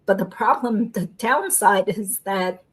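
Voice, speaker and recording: A woman's voice: mid-range voice, slow, breathy edge, low-quality recording. A woman host, a lawyer, chatting casually in an upbeat way and explaining court matters. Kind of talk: casual chat and legal commentary.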